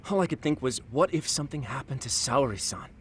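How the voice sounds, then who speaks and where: An actor playing an anxious, excitable young law office junior in an English game dub, fretful and over-eager. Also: male voice, mid-range voice, a little fast, a little hiss or hum, close to an everyday voice.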